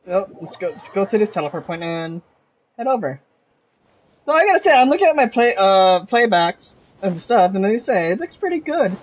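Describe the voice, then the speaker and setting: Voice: mid-range voice, very animated, normal speed, low-quality recording. Casual game streamer, faint noise behind. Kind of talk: casual livestream gameplay commentary.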